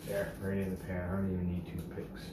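Middle-aged man doing a low-key home cooking demo, slightly deep, recorded by a camera across an echoey kitchen. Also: completely flat delivery, a little fast. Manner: low-key, tired, self-deprecating. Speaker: home cook. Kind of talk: casual cooking demonstration. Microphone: camera across the room. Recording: echoey kitchen with background noise.

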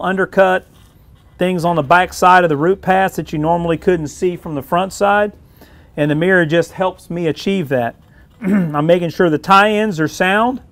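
A middle-aged man, a weld test supervisor, explaining a visual weld inspection in a shop and often clearing his throat. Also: sits high. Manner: frequent throat clearing, plain-spoken explaining. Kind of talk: instructional demonstration. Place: indoor welding shop.

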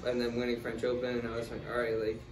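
A young man chatting easily about his past, loose and unhurried with lots of fillers. He sits back from the camera in a small bare room, so the sound is echoey and distant with steady hiss underneath. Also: medium pitch. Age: young adult. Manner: relaxed, many fillers like "like" and "um". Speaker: guest, tennis player. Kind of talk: casual interview answers. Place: small room, in doors, seated in armchair. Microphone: far camera. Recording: roomy, distant, noisy.

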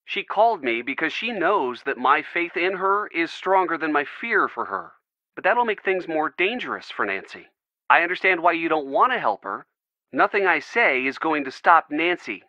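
Game role: young American boyfriend, loyal, caring, wry. Animated delivery, dry and slightly muffled recording. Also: male voice, on the high side, moderate pace, dry recording. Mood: firm, earnest. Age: young adult. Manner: earnest, gently joking. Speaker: voice actor in a video game. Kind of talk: video game dialogue, phone conversation.

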